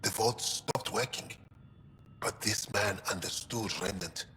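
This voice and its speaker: A man's voice: lowish voice, measured pace, bright, forceful tone, a little hiss or hum. An actor playing an earnest, thoughtful male alien scientist in a video game, explaining things a little hesitantly. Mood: hesitant, confiding. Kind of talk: video game dialogue acting. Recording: long reverb, music underneath.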